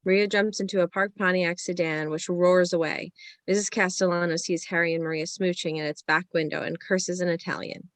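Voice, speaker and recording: A woman's voice: lowish voice, fairly even intonation, a little fast, dry recording. A woman narrating the stage directions of a screenplay in a scripted reading.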